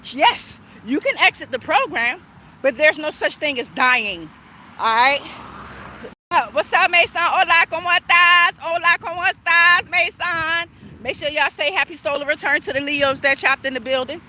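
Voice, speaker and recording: A woman's voice: high voice, normal speed, sharp tone. A middle-aged woman chatting casually on a spiritual livestream as a tarot reader, emphatic and often checking in with tag questions. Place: outdoors on a walk near traffic. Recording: outdoor street noise, passing cars. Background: passing cars, urban outdoor ambience.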